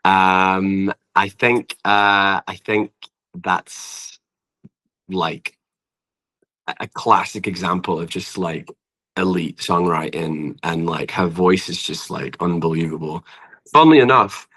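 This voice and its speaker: A man's voice: low-pitched, slow pace, fairly bright tone. A young British man chatting casually and effusively as a musician guest in an interview, often hesitating and filling with "like". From Britain. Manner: effusive, informal, hesitant phrasing with frequent "like". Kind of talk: casual podcast interview chat.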